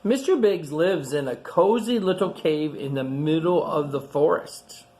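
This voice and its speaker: A man's voice: a little high, expressive, darkish tone, slight background noise. A man hosting a storytime, reading a picture book aloud to children and stopping to comment on the pictures in simple, repetitive phrases. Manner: pauses to point out and comment on pictures. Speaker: storytime reader and host.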